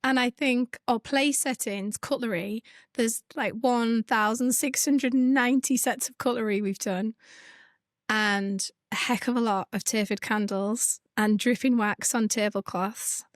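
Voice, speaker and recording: Husky Yorkshire woman, higher voice. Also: fairly even intonation, measured pace, dry recording, studio quality. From Northern England. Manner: laughs often, self-deprecating. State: husky from overuse. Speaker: guest, events business founder. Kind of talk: casual podcast conversation.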